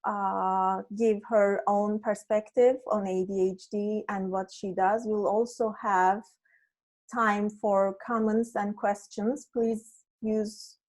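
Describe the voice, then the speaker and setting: A woman's voice: mid-range voice, a little mellow. A woman from Turkey whose first language is Turkish, hosting an online meetup in a friendly, hesitant way over a video call. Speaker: meetup host. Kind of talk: casual online video chat.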